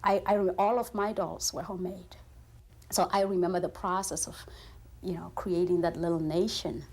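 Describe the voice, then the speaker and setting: A woman's voice: lowish voice, fairly even intonation, normal speed, a little mellow, slight background noise. A woman from Africa, a Sotho speaker who talks in an articulate, thoughtful way with small fillers. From South Africa. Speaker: librarian, interview guest.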